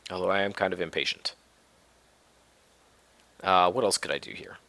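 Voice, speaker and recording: Low, bright-voiced man with a cold. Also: moderate pace, vocal fry, no echo. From Minneapolis-Saint Paul area, US. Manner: laid-back and rambling, many ums and restarts. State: coming down with a cold. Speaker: Minecraft let's play host. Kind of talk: casual gameplay commentary.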